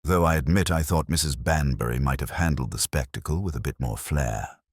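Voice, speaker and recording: A man voicing a composed, genteel village woman in a mystery story, speaking with quiet, restrained dignity. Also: extremely low pitch, brisk, slightly rough, no room sound, clean studio sound, exaggerated voice. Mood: resigned.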